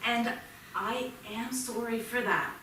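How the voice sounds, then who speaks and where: Anxious stage-play mother, acted by a woman: bright, slow, pleading delivery in an echoey theatre. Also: a little high. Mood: earnest pleading. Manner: pleading, emotional, halting. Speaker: actor. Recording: echoey theatre, distant mic, faint noise.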